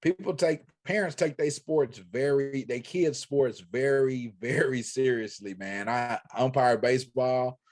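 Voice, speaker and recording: Male co-host, mid-pitched voice, animated conversational storyteller. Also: unhurried, hardly any pauses. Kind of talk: casual discussion.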